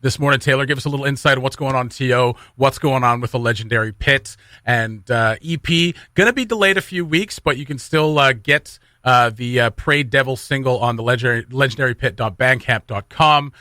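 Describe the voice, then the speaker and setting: Bright-voiced man hosting morning radio. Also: medium pitch, a little fast, hardly any pauses, dry recording, studio quality. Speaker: radio morning show host. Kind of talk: casual radio chat and interview. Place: radio studio.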